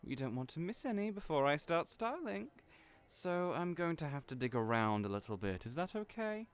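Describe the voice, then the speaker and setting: A man's voice: fairly high pitch, sing-song, normal speed, clear, resonant tone, voice pushed up. A man putting on a flamboyant, teasing hairstylist. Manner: fussy, playful, mothering. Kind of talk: audio roleplay acting.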